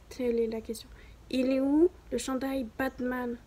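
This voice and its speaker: Young woman with a southern French accent for a casual home vlog, light and higher voice, rambling and self-mocking. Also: flat delivery, takes it slowly, a little mellow. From southern France. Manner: rambling, self-mocking, chatty with many fillers. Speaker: beginner vlogger. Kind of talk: casual chat to camera.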